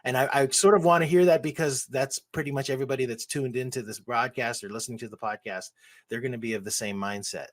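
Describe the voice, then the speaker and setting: Man, mid-pitched host, loose and chatty, lots of ums. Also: steady tone, quick pace. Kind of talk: casual online show hosting.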